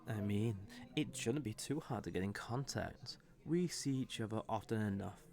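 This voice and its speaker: A man playing a smug, flirtatious villain on his day off, teasing and unhurried, in a scripted roleplay audio drama addressed to the listener. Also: on the low side, lively intonation, creaky, a little mellow. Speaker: voice actor.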